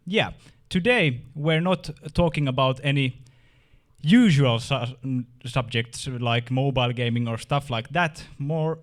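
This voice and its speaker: Man, meetup host, halting delivery, plain mid pitch. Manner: hesitant, many restarts and fillers. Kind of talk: introducing a speaker at a meetup.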